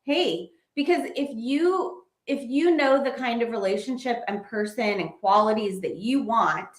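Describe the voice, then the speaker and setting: A woman's voice: fairly high pitch, a little slow. A woman co-hosting a casual advice chat, explaining steadily and listing practical questions. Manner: steady, explanatory, lists questions, light laughs. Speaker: co-host. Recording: roomy echo.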